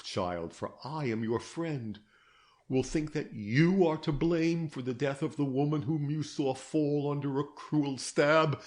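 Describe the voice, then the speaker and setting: A man voicing a stern, patient older detective in a storybook reading, pressing his questions in a grave, measured way. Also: on the high side, darkish tone, pitched above the performer's own voice, lightly acted. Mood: gentle.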